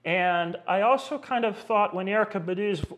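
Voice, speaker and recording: Higher-voiced middle-aged man reviewing gear. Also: moderate pace, a bit bright. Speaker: audio equipment reviewer. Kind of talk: conversational product review.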